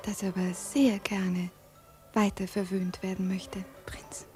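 An actress playing a spoiled, imperious young princess in a 1950s radio play, formal and dramatic with breathy pleading. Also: female voice, mid-range voice, little pitch movement, slow, darkish tone, soft background music, lightly acted. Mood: coy, excited. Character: haughty, spoiled young Chinese princess, imperious yet yearning.

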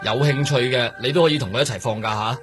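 Police superior for radio drama, male actor: Hong Kong accent, authoritative, brisk orders, medium pitch. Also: over music, close to an everyday voice. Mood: firm. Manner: firm, exasperated authority.

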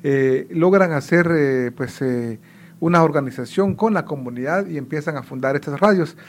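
A man in his forties from Guatemala, a native Kaqchikel speaker, explaining things in a measured way as a radio interview guest. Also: on the high side. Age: 40s. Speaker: radio federation director.

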